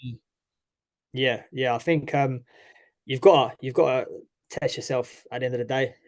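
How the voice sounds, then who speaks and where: A young man from East London speaks in a chatty, loose way, with a local accent and plenty of false starts. His voice sits at an ordinary middle pitch for a man. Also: steady tone, normal speed. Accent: East London English. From East London, England. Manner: chatty, frequent restarts and "obviously". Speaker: guest, mixed martial arts fighter. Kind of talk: casual interview talk.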